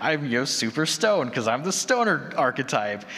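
A man's voice: mid-range voice, very animated, moderate pace, pushed and bright. A man speaking casually as a panelist in a live discussion, with a descriptive storytelling manner. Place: large echoey room at a convention panel. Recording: echoey hall, noticeable background noise.

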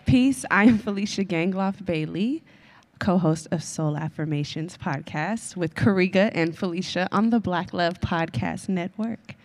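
A woman with a soft voice, a podcast co-host introducing herself. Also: fairly low pitch, measured pace.

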